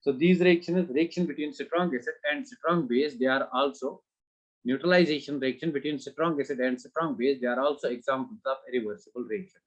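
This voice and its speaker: Slow-spoken man teaching chemistry. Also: a little high. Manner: mixes Hindi and English, asks students questions; slow, deliberate English phrases, rapid Hindi stretches. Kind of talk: online exam-prep lecture. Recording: dull lo-fi recording.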